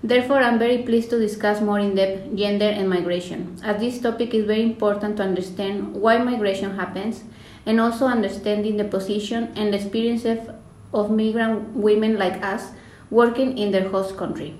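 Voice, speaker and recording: A young woman with a Mexican accent delivers formal, prepared remarks as a student panelist. Her voice is medium in pitch. The recording has a strong room echo. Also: fairly even intonation, normal speed, a little mellow. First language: Spanish. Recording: echoey room.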